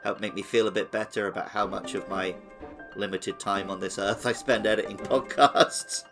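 A man's voice: on the low side, steady tone, normal speed, a bit bright, soft background music. A man co-hosting a podcast, chatting casually about a video game. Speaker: podcast co-host.

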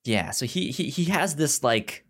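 A man in a casual film discussion, talking haltingly and stammering over repeated words and syllables. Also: a little high, animated, a bit bright. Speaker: film podcast host.

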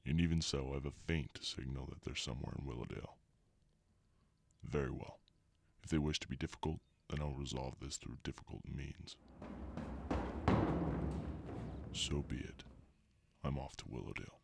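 A man's voice: rumbling low, steady tone, a little fast, vocal fry. A man playing a cold, imperious evil scientist villain in an audio drama, speaking with menace. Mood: cold, scheming. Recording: recording with faint background noise.

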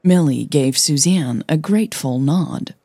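Woman reading a story podcast, deep for a lady, kinda husky, takes her time. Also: measured pace, mellow, no room sound, clean studio sound. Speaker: podcast host and narrator. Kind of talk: audio fiction narration.

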